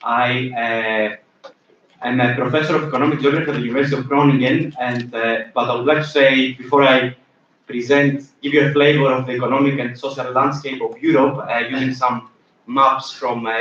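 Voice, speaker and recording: A man's voice: mid-range voice, little pitch movement, fairly quick, fairly bright tone. A man with a Greek accent speaks at a conference, hesitant and filling gaps with "uh". He sounds distant and roomy, with dull sound and faint noise behind him. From Greece. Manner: hesitant, many fillers. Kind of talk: conference talk. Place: conference room.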